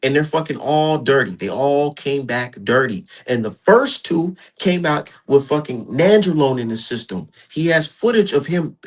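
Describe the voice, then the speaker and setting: Man, 30s, casual podcast host, husky voice, heated and repetitive. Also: mid-range voice, normal speed, hardly any pauses. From Minnesota. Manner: animated, repetitive, heated ranting. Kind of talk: casual boxing talk.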